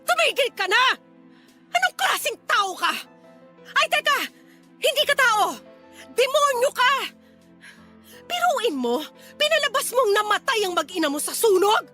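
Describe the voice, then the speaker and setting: High, bright-voiced fiery Filipina mother. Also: sing-song, unhurried, pauses often, faint music behind, exaggerated voice. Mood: furious. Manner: emphatic, scolding, dramatic. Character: fed-up, fiery mother confronting her lying ex. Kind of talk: Filipino radio drama acting.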